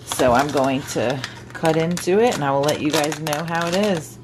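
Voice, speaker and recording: A woman's voice: low-pitched, normal speed, background noise. A middle-aged woman narrating her home cooking in a chatty, upbeat way, explaining each step as she goes. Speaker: cooking vlogger. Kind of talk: casual voice-over cooking narration. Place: home kitchen.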